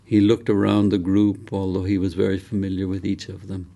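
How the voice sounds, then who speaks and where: A man reading a novel aloud in a measured, deliberate storytelling manner. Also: low voice, monotone, gravelly, mellow. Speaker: author reading from his novel. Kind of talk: scripted audiobook narration.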